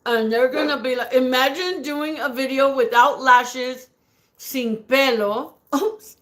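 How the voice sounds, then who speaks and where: A woman hosting a casual live stream, her voice raspy and pitched a little high. She talks slowly, greets viewers by name, giggles often and slips Spanish words into her chat. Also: steady tone, fairly bright tone. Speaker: livestream host reading viewer comments.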